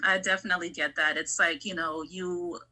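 Very bright-voiced woman hosting a podcast. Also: lowish voice, steady tone, moderate pace. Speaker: podcast host interviewing a guest. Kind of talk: casual, reflective interview conversation.

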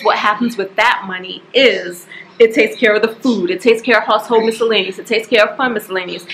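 A woman's voice: mid-range voice, slight background noise, low-quality recording. Chatty woman in her forties. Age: 40s. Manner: chatty and expressive, addresses viewers as y'all. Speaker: budgeting vlogger. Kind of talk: casual direct-to-camera talk. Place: home staircase, indoors. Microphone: camera at close range.

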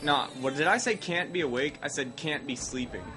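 A man's voice: fairly high pitch, expressive, brisk, background noise. A young man with a Midwestern American accent, sick and coughing, rambling through casual gameplay commentary with self-mocking asides. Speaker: let's play host. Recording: game music playing loud underneath. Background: game music.

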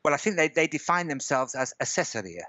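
A man's voice: fairly high pitch, big pitch swings, fast, bright, forceful tone, dry recording, lo-fi sound. A man in his fifties, a guest on a video call from a room at home, telling a story casually and checking in often with "okay?" and "right?". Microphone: headset on a video call.

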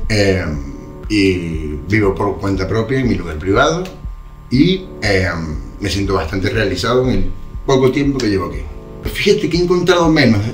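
A man in his thirties with a Venezuelan Spanish accent, telling his story casually in an interview, with hesitations and repeated words. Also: fairly low pitch. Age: 30s. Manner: relaxed, repeats words for emphasis, frequent hesitations. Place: bright room indoors, seated on sofa. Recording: roomy sound with music under it.

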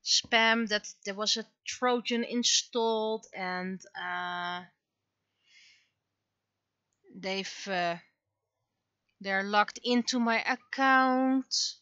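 A woman livestreamer thinks aloud in short, halting phrases at a slow pace. Her voice is bright and clear-cut in tone, though the recording is slightly dull. It sounds close and dry, like casual chat over desk work. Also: medium pitch, no room sound. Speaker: livestreamer and webcomic artist.

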